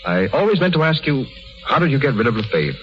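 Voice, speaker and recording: An actor playing a stoic, gallant aristocratic soldier, gentle and resigned, in 1930s radio style. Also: male voice, medium pitch, big pitch swings, quick pace, music in the background, close to an everyday voice. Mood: tender, nostalgic. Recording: muffled 1930s radio broadcast.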